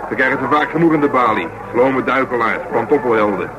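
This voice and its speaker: An actor playing a burly, blunt, stubborn police detective in a radio play, talking gruffly and colloquially. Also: male voice, mid-range voice, fast, over loud music, natural voice, rough recording. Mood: speculating, blunt. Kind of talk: naturalistic radio play dialogue.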